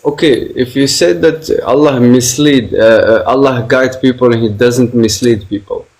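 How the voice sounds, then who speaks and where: A man's voice: mid-range voice, normal speed, a little mellow, rough recording. A man debating online, pressing his point with repeated questions and frequent hesitant fillers.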